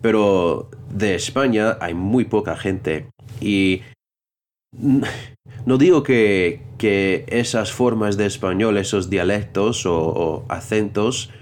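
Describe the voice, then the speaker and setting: A man's voice: on the low side, slow pace, a little mellow, slight background noise. A man in a casual chat, talking hesitantly, often restarting and searching for words. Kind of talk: casual conversation.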